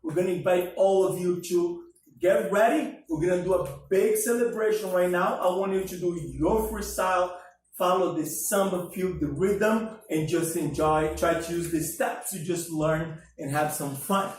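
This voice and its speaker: High-voiced man coaching a dance class. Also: normal speed, a little hiss or hum. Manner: upbeat coaching, calls counts. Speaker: dance instructor. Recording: echoey room from far off.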